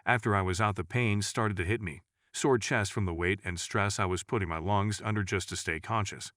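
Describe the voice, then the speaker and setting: A man's voice: lowish voice, moderate pace, a bit bright, no echo, studio quality. A man reading a scripted first-person story as a storyteller narrator.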